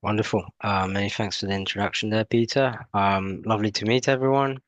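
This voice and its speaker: Male presenter, British accent with a twang, low voice, dry close sound, halting delivery. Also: steady tone, no room sound. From half French, half British. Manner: hesitant, many fillers and restarts. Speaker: guest presenter, energy company head. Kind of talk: webinar presentation.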